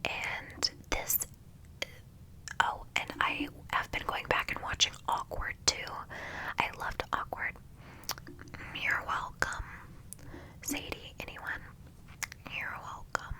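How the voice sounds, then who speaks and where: Brief: young woman, ASMR host, stuffed-up nasal whisper, bright and crisp, chatty. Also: slow pace, pauses often, rough recording. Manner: chatty, gushing, many ums. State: congested, nasal. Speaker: ASMR creator.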